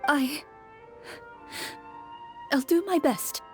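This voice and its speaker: A woman voicing a worried soldier's wife in a game, soft and hesitant. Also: high-pitched, drawn-out words, faint music behind, voice pushed up. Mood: tearful, grateful. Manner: hesitant, timid. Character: worried soldier's wife, shy and sorrowful townswoman.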